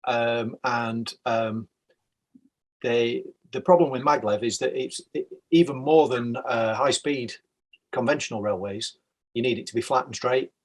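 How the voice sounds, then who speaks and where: A man explains like an expert guest in a middle-pitched voice. He talks informatively and fills the pauses with um. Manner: informative, with many ums.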